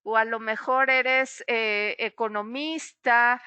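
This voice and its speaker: A woman's voice: fairly high pitch, steady tone, slow, pushed and bright, clean tone, dry recording, dull audio. A middle-aged psychologist woman giving a webinar talk straight to the listener, explaining patiently with frequent "eh" fillers.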